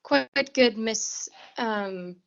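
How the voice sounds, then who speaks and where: A teenage girl playing a nervous, distracted woman executive in a 1940s suspense radio play, halting and repeating herself. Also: fairly high pitch, gravelly, a bit bright, muffled recording, heavily acted. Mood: flustered. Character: nervous, flustered woman executive, curt when pushed. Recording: faint noise and clicks, home recording.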